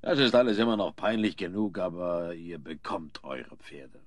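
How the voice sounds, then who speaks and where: An actor playing an indignant, pompous, middle-aged wealthy father in a German audio drama, blustering theatrically and haltingly. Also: sits low, expressive, no room sound, clean studio sound. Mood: grudging.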